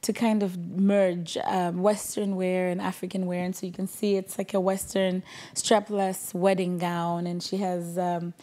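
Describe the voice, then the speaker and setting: A woman's voice: fairly low pitch, little pitch movement, a little slow, warm tone. A young adult woman from Zimbabwe, a guest in a small studio interview, telling her story in a composed way. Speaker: guest, clothing designer. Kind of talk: casual interview talk.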